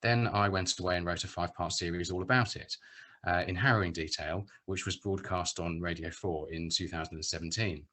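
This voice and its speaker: Low, bright-voiced British man giving a talk. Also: fairly even intonation, a little fast. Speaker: theatre director and writer giving a talk. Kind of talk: prepared speech at an online panel event.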